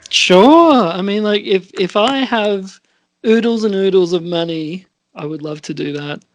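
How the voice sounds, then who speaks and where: A man hosting a casual podcast chat, halting and often trailing off mid-sentence. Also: high voice, no echo. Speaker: podcast host and scriptwriter.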